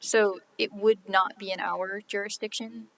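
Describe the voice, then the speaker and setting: A woman's voice: medium pitch, steady tone, unhurried, vocal fry, a bit bright, rough recording. A woman representing the city at a hearing, carefully checking details with clarifying questions.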